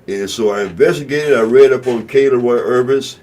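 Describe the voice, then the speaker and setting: A man's voice: medium pitch, brisk, a little mellow. Guy hosting a radio show, rambly, says everything twice, lots of um and you know, normal mid voice. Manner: rambling, repeats phrases, many fillers. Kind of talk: casual talk-radio monologue.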